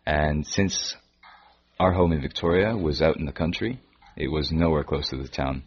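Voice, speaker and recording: Very deep husky man, Canadian accent. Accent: Canadian with traces of British. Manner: hesitant, pauses and restarts often. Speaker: interviewee. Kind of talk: casual interview chat. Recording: dull lo-fi recording.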